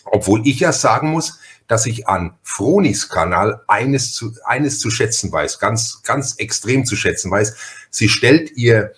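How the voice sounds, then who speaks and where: A man's voice: fairly low pitch, lively intonation, measured pace, hardly any pauses, fairly bright tone. A man hosting a podcast interview in a casual, chatty and wordy way, often interrupting himself and repeating words.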